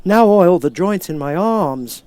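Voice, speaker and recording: Man voicing a courteous tin man, raised pitch, fuller and louder than the narration, plaintive. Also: animated, measured pace. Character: polite, grateful man made of tin.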